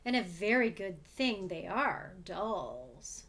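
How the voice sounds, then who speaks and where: A woman's voice: mid-range voice, very animated, takes it slowly, fairly bright tone, pitched below the performer's own voice, heavily acted. A woman voicing an odd, greedy storybook creature, fierce and gleeful, boastful and smug in the way it insists.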